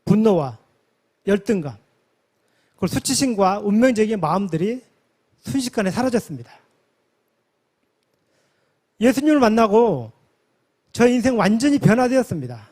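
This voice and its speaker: High-pitched man giving a formal testimony. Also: a little mellow. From South Korea, from a village near Yeosu. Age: 40s. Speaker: conference member giving a testimony.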